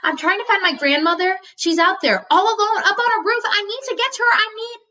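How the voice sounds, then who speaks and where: A woman's voice: extremely high pitch, brisk, sharp tone, recorded from a distance, muffled recording, pitched above the performer's own voice. A woman voicing a frantic man who urgently pleads for help to rescue his grandmother in a storybook read-aloud. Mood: frantic, pleading.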